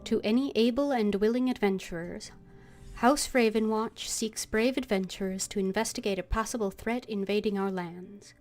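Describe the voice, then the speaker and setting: A woman game streamer casually reading game text aloud and muttering low-key asides. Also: normal speed, darkish tone. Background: game music, keyboard typing.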